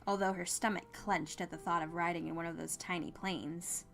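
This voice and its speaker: A woman reading scripted fiction as an expressive audiobook narrator. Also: on the low side, moderate pace, creaky. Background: music.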